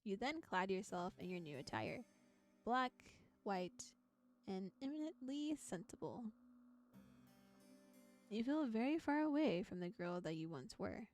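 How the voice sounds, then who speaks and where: A woman narrates a visual novel for a live stream, her mid-pitched voice moving in animated swings. She slips between storybook reading and casual, giggly chat, with soft music playing behind her. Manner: giggly, chatty, playful asides. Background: background music.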